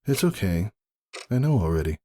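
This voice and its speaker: Man playing a deep-voiced, quietly unhinged grieving pony. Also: big pitch swings, normal speed, a little hiss or hum. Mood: tender, reassuring.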